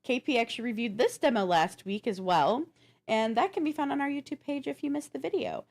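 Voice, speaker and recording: A woman's voice: a little high, expressive, fairly quick, darkish tone. A woman co-hosting a gaming news show, counting down a list in a casual, chatty, informal way.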